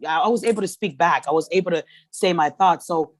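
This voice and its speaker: A woman's voice: fairly low pitch, brisk. A woman from Tonga whose first language is Tongan, speaking candidly as a podcast guest, often stopping and restarting her phrases. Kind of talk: casual conversation.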